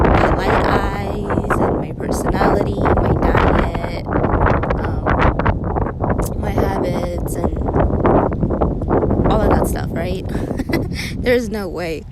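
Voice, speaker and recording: A woman ranting in a casual, rambling way, full of filler words and tag questions, with little laughing asides. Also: mid-range voice. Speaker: monologue performer. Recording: loud wind noise over the mic.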